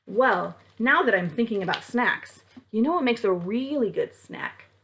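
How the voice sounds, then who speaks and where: A woman's voice: fairly high pitch, animated, a little slow, fairly bright tone. A woman leading a children's storytime as a librarian, reading aloud and speaking directly to young listeners with prompts and questions.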